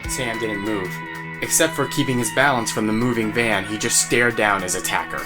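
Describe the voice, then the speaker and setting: A man's voice: lowish voice, normal speed. A man narrating an audio drama as a scripted story. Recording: music bed behind voice.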